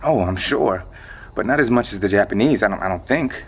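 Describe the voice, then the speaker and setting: A guarded survivor who knows more than he says. His voice is on the low side, and he talks casually and a little hesitantly, in natural audio drama style. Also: expressive, fairly quick, slightly rough. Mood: earnest. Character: weary, guarded male survivor with secret knowledge. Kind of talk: audio drama acting, conversational.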